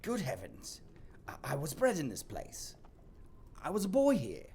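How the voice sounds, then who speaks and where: A woman playing a shaken old miser in an audio play, speaking in a halting, muttering way. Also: deep voice, huge pitch swings, warm tone, slight background noise, heavily acted. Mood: wistful, excited.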